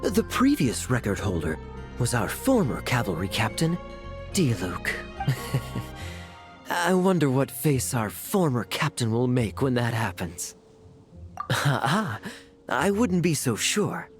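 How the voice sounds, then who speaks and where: Genteel game character: playful, musing, lively mid-pitched delivery over music. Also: male voice, takes it slowly, long pauses. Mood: teasing, musing. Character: genteel, playfully teasing knightly acquaintance, wistful storyteller. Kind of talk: anime game voice acting. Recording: music behind the voice.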